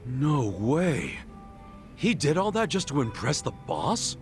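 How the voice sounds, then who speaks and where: A man's voice: mid-range voice, huge pitch swings, takes it slowly, faint music behind. A man voicing a blunt, curious, easygoing friend in a video game cutscene, talking in a casual, slangy way. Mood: incredulous.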